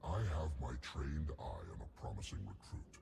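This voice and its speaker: Deep, gravelly pompous alien scientist. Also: male voice, lively intonation, a little mellow, slight background noise, exaggerated voice. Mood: pompous, fascinated. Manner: formal, verbose, deliberate. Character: pompous, erudite alien scientist, fussy and eager. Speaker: alliance science chief. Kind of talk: scripted game character dialogue.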